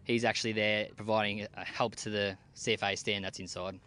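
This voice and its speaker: A young Australian man, an army team leader, answering interview questions outdoors in a plain-spoken, relaxed way with casual slang. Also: fairly low pitch, a little fast. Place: outdoors at a military base.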